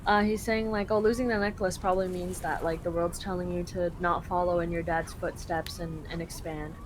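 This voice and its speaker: A woman's voice: fairly low pitch, steady tone, brisk, slight background noise. A young woman from Canada translating a game live for a friend, chatty and full of fillers.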